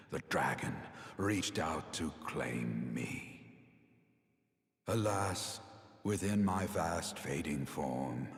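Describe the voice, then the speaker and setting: An actor playing a grave, weary elder sage in a fantasy game, speaking in a stately, deliberate, theatrical way. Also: male voice, rumbling low, heavily acted. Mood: grave, regretful. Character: grave, weary elder male sage recounting his downfall. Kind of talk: solemn fantasy game cutscene acting. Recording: long cavernous reverb.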